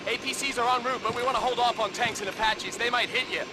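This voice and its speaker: A man's voice: very high-pitched, fairly even intonation, fast. A man playing a stern, urgent military mission commander in a war game, giving clipped, authoritative orders. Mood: firm, businesslike. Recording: loud vehicle and battlefield noise.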